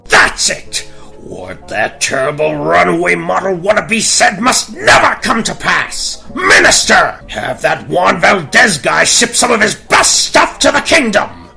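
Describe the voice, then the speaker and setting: A man's voice: sits high, sing-song, unhurried, creaky, pushed and bright, faint music behind, full character voice. A man playing a shallow, hard-headed, blustery king and father in a comic audio fairy tale. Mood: outraged.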